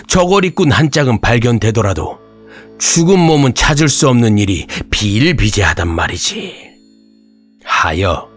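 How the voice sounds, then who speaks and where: A man telling a scripted horror folktale as an old-fashioned raconteur, with dramatic pauses and archaic turns of phrase. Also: mid-range voice. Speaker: horror radio storyteller.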